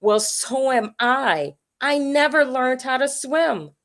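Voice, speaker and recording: A woman's voice: sits high, lively intonation, slow pace, a bit bright, voice pushed up, full character voice. A woman voicing a timid, kindly old grandfather llama in a storybook, nervous yet friendly. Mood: sheepish, kindly.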